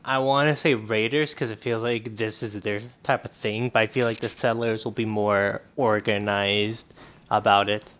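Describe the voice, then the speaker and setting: A man's voice: fairly low pitch, steady tone, moderate pace, a bit bright, clear, resonant tone. A man, a game streamer chatting casually. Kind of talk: casual chat while streaming.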